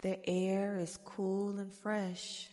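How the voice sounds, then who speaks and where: A woman guiding a meditation in a soft-spoken ASMR style, with soothing, gentle, deliberate phrasing. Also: medium pitch, monotone. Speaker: guided meditation host. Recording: long reverberant echo.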